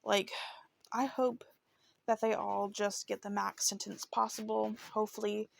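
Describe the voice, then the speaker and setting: A woman's voice: mid-range voice, little pitch movement, measured pace, creaky. A woman hosting a true crime show, telling a case in a chatty, informal, conversational way. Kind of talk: casual true crime narration.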